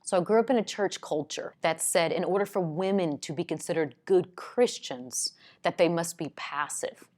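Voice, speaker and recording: Earnest woman with a lowish voice. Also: moderate pace, hardly any pauses. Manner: loud, outspoken voice. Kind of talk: earnest teaching talk to camera.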